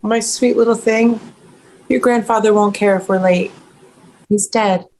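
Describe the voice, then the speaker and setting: A woman reading the part of an aging, fiercely protective ranch grandmother at a screenplay table read, in a hushed, firm voice. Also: mid-range voice, steady tone, unhurried, lightly acted, low-quality recording. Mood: tender resolve.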